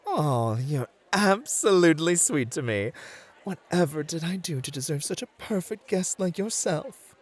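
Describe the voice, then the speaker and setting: Man acting a lilting, flirty gentleman club host. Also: a little high, expressive, measured pace. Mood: flirty, attentive.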